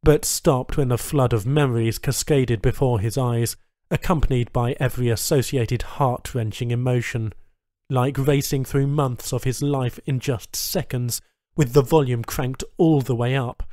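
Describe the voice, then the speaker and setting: A man's voice: medium pitch, steady tone, unhurried, warm tone, no echo. A man narrating a scripted story as an audiobook reader, recorded close to the microphone.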